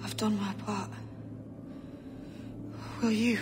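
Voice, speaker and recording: A woman voicing a weakened, sorrowful young woman in a video game cutscene, halting and emotional, pleading yet resolute. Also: mid-range voice, level tone, lightly acted. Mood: fading, resolute. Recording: loud music behind the voice.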